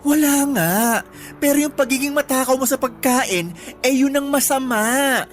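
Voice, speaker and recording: A woman's voice: high-pitched, unhurried, faint music behind. An actress playing a blunt, exasperated young woman who scolds and questions her friend in a Filipino radio drama.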